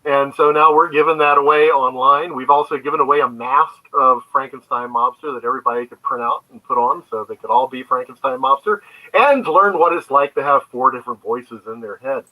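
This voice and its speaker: Very bright-voiced man explaining his work. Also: medium pitch, brisk, low-quality recording. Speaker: guest, comic book creator. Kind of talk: conversational interview answers, storytelling. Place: remote online video call.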